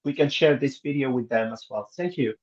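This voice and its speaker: A man hosting an online event who politely and hesitantly asks the guest to pause so the attendees can introduce themselves. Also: medium pitch, darkish tone, highs cut off. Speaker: event host.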